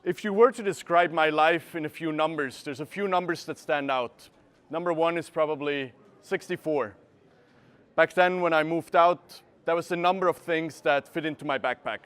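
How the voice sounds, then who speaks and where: Guy in his twenties talking to a small crowd, bit higher voice, picks words carefully, camera across the room. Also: moderate pace, a bit bright, clean tone. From Switzerland. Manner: earnest, searching for words with frequent uhs. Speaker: speaker. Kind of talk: presentation talk. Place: gallery room with a seated audience.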